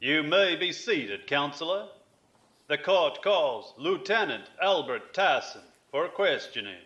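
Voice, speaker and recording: Stern, bright-voiced commodore, roomy sound. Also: male voice, a little high, lively intonation, heavily acted. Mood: stern, ceremonious. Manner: formal, deliberate, authoritative. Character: stern, ceremonious male commodore presiding over a military tribunal. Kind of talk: science fiction audio drama acting. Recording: roomy, slightly dull sound.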